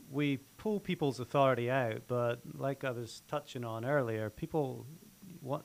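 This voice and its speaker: Man, co-host, mid pitch, explaining calmly, conversational. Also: vocal fry. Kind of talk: casual interview chat.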